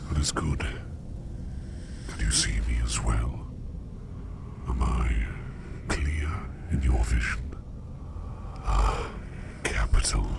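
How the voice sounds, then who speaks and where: A curious, courteous sleep demon played by a man murmurs in an ASMR roleplay. The voice is rock-bottom low and heavily creaky, sinking into breathy whispers. The phrases come slowly, in formal, old-fashioned wording, over clearly audible noise. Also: full character voice. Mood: curious, soft. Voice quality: very deep, gravelly, breathy whisper. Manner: slow, measured, formal old-fashioned diction. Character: curious, gentle, formal male sleep demon, dream walker.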